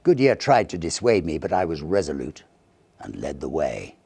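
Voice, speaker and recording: A man reading a Victorian ghost story as an audiobook narrator, theatrical and expressive, with deliberate phrasing. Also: extremely low pitch, vocal fry, husky, dry recording.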